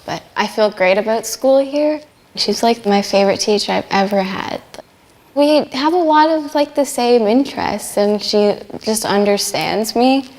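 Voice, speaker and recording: A woman student speaking softly in a documentary interview, hesitant, with many fillers. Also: fairly high pitch, unhurried, big-room sound. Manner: soft-spoken, filler-heavy, hesitant.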